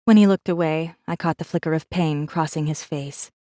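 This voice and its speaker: A woman voices a defiant rebel heroine telling her own story in an audiobook. Her voice sits low and warm, with a controlled, introspective delivery. The sound is dry and close, and she stays guarded and wry. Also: steady tone, moderate pace, no echo, close to an everyday voice. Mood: guarded, observant. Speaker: audiobook narrator. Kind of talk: first-person scripted narration, audiobook.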